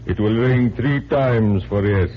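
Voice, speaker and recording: Low, sinister mystic professor, played by a man. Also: natural voice. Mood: sinister, controlled. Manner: commanding, incantatory, deliberate. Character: sinister, domineering male phony mystic professor. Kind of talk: 1940s radio acting. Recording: dull, noisy 1940s radio recording.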